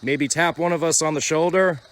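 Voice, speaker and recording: A man hosting a paranormal investigation, musing about ghosts and calling out loudly to spirits on a wooded road at night. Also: steady tone, moderate pace. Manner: calls out loudly to spirits, otherwise low and musing. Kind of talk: casual narration while ghost hunting. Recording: night outdoor noise, crickets.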